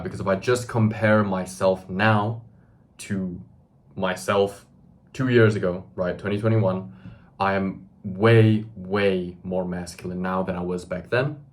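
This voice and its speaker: A man, earnestly addressing the camera in a self-improvement monologue full of "right?" tags and fillers. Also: low voice. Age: 20s. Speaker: self-improvement video host.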